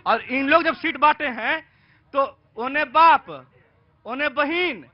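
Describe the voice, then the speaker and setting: A man giving an emphatic, campaign-style political pitch on the street. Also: slow. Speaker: party supporter. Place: outdoor street. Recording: dull outdoor audio.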